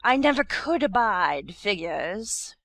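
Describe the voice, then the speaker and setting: A narrator voicing a rude, bad-tempered Duchess with a hoarse growl in a storybook audiobook. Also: medium pitch, sing-song, pushed and bright, dry recording, faint music behind, voice pushed up, full character voice. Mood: irritable. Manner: brusque, slow, snappish.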